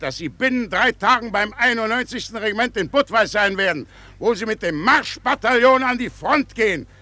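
An older army colonel in a radio play, pompous and irate. His voice is high and sharp, swinging widely as he blusters and lectures with heavy sarcasm. The sound is dry and close. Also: no room sound, full character voice. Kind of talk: German radio play acting.

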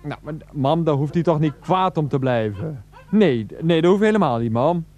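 A man acting an exasperated, defensive grown son on the phone to his mother in a naturalistic radio comedy drama, halting and repeating himself to placate her. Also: on the high side, animated, warm tone, close to an everyday voice.

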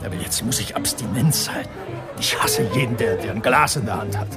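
An actor playing a tormented, self-mocking alcoholic writer in a radio drama, theatrical and halting. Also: male voice, lowish voice, animated, natural voice. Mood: restless, self-mocking. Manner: theatrical, halting, swinging between murmur and outburst. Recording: music behind the voice.

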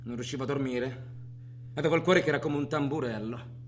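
An actor playing a volatile, haunted robber who confesses in a colloquial, profane radio theatre monologue, swinging from hushed to shouting. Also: male voice, mid-range voice, fairly quick, close to an everyday voice. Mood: brooding, boastful. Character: volatile, haunted male robber recalling his crimes. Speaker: solo actor in a radio play. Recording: echoey room with noisy hum.

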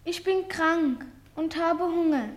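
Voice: very high voice, slow. A performer playing a small, sick, trusting orphan boy in a German radio play, with a plaintive, childlike delivery. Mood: pleading.

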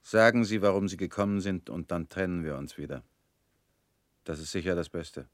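An actor in a radio drama playing a weary middle-aged family man, guarded and resigned, talking in flat, curt lines that often sink quiet. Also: low-pitched, moderate pace, dry recording, clean studio sound, lightly acted.

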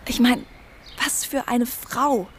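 A woman's voice: a little high, takes it slowly, slight background noise. An actress playing a self-willed, educated, outspoken young woman in a conversational radio drama. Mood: admiring, animated. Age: young adult.

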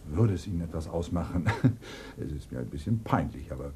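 Low-voiced, wily older police inspector. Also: male voice, animated, a little fast, mellow, a little hiss or hum. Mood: politely probing. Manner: hesitant, probing, dryly teasing. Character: older police inspector, wily, sardonic, feigning bumbling politeness. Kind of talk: 1990s German radio crime play.

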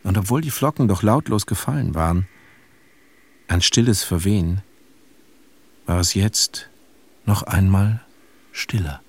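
An actor playing a somber, reflective grown son who narrates a literary radio play in a measured, pensive manner. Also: male voice, deep voice, breathy edge, full character voice. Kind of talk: literary first-person radio drama narration.

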